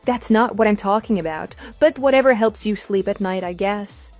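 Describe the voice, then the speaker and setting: Woman doing betrayed wife in an audio drama, mid pitch, cool n sarcastic, totally matter of fact, bit of music behind. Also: moderate pace, a little mellow, lightly acted. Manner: dry, firm, matter-of-fact. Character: unimpressed, coolly sarcastic wronged wife. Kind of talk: scripted radio drama dialogue.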